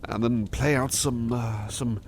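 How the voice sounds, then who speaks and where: Male actor as weary, loving manservant; ASMR intimacy, unhurried, courteous, hesitant delivery, slightly noisy ambience. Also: medium pitch, fairly even intonation, close to an everyday voice. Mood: musing, relaxed. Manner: hesitant, halting, polite old-fashioned phrasing, affectionate. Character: overworked, devoted, weary butler resting affectionately on partner's lap. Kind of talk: ASMR roleplay, intimate personal attention.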